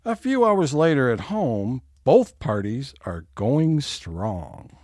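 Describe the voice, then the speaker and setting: A man's voice: medium pitch, big pitch swings, slow pace, a little mellow, no echo. A man delivering scripted scene-setting narration for an audio comedy.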